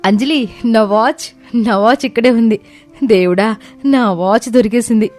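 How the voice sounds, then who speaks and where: A woman's voice: on the high side, measured pace, a little mellow, soft background music, natural voice. A woman voicing an emotional, exclamatory and pleading younger sister-in-law in a family story. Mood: excited relief.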